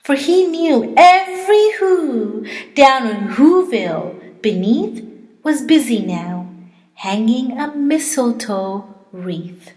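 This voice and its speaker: A woman teacher reading a children's storybook aloud in a theatrical, drawn-out and emphatic manner. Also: high-pitched, expressive, a little mellow. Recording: echoey, reverberant hall.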